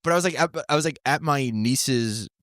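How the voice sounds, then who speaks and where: A man's voice: medium pitch, a little fast, fairly bright tone, no room sound, clean studio sound. A man in his thirties, a comedian, telling stories in casual chat and rambling with many fillers and restarts. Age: 30s. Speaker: comedian guest. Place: New York City.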